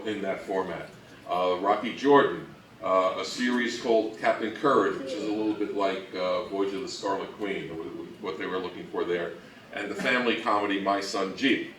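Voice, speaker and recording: A man with a fairly deep voice presents an informal history lecture. He explains things in a conversational, slightly halting way. He sounds some distance from the microphone in a reverberant room. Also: a bit bright. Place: room with echo, live presentation. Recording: echoey room from far off, faint noise.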